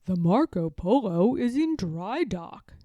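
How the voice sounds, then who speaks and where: A woman plays a gentle old grandfather bear in a picture book. He talks slowly and emphatically, in a mellow, close and dry tone, fond and content. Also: medium pitch, very animated, dry recording, highs cut off. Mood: explaining. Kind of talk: children's storybook character voice.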